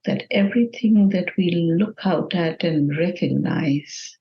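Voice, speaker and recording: Slow-speaking woman guiding a meditation, muffled sound. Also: lowish voice. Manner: gentle, measured, with long pauses. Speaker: meditation guide. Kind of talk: guided meditation.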